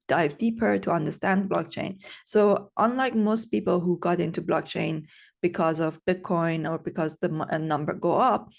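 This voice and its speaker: Gravelly-edged woman from Iran. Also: fairly low pitch, darkish tone. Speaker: guest, tech founder. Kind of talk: casual interview answers.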